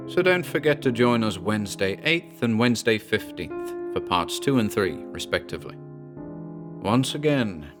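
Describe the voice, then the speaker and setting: A man giving a scripted narration of a horror story, with measured, deliberate and formal literary delivery. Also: mid-range voice. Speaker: narrator and presenter.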